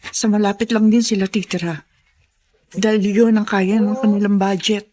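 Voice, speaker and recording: An actress playing an anxious, determined mother in a radio drama, speaking earnestly and deliberately. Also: medium pitch, fairly even intonation, dark tone, close to an everyday voice. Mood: determined, pensive. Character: anxious, determined mother searching for her lost child. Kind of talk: Tagalog radio drama acting.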